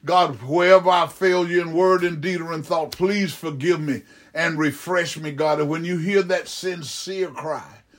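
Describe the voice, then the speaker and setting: A man's voice: fairly high pitch, measured pace. A man preaching in fervent, improvised Pentecostal prayer, pleading repetitively and calling out to God mid-phrase.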